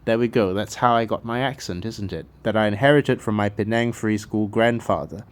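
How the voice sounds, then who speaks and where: A man's voice: lowish voice, fairly even intonation, fairly quick, recorded from a distance. A man from Malaysia giving an opinionated video commentary, emphatic and impassioned, with sardonic asides. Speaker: cultural commentator. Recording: echoey room.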